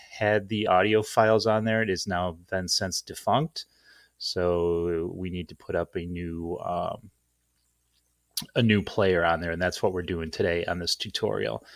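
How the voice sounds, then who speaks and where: A man's voice: low-pitched, a bit bright, dry recording. A man hosting an informal, off-the-cuff screen-share tutorial in a rambling, self-deprecating way. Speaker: tutorial host.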